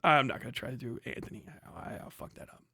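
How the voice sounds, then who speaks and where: A man's voice: mid-range voice, quick pace, bright, forceful tone, breathy edge, no room sound, studio quality. A man hosting a live stream, ranting heatedly and profanely with frequent laughs. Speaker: streamer host.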